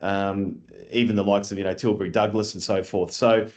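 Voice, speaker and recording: Chatty Australian-accented man, low voice. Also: a little fast. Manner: animated, rambling, lots of fillers and restarts. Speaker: guest, industry scheme chief executive. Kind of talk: casual conversational interview.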